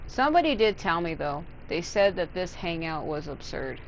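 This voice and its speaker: A woman's voice: lowish voice, big pitch swings, moderate pace, slight background noise, rough recording. A woman giving casual, rambling gameplay commentary as a streamer, sounding a bit tired and unwell. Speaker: gaming streamer. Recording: game music behind the voice. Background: video game music.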